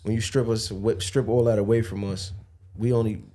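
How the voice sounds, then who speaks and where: A man's voice: on the low side, fairly even intonation, a little fast, mellow. A man hosting a podcast interview, talking in reflective, encouraging street slang. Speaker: podcast host.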